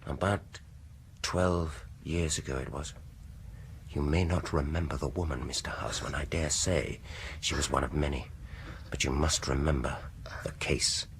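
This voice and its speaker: An actor playing a menacing dentist bent on revenge in a radio horror drama, deliberate and politely taunting. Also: male voice, extremely low pitch, steady tone, slight background noise. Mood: cold, quiet menace. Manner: soft-spoken politeness turning sinister, deliberate and taunting.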